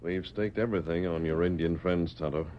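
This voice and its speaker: Casting: male actor as earnest western hero, very low voice, commanding formal delivery, muffled vintage radio sound. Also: steady tone, fairly quick, close to an everyday voice. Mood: resolute. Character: resolute masked cowboy hero, earnest and commanding. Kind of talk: 1940s radio western acting. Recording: muffled vintage radio recording, faint noise.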